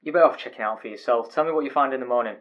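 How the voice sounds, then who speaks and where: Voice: medium pitch, rapid-fire, lo-fi sound, natural voice. A performer playing a gossipy, grumbling male railway worker in an amateur audio drama. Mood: sleepy, doubtful. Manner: colloquial, conversational. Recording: faint background noise and ambience.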